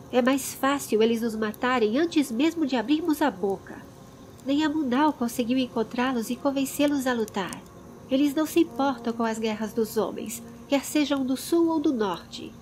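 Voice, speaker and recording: A woman's voice: high-pitched, a little mellow, slight background noise, pitched above the performer's own voice, natural voice. A Brazilian woman voicing a hot-headed, frustrated young man in a fantasy audiobook.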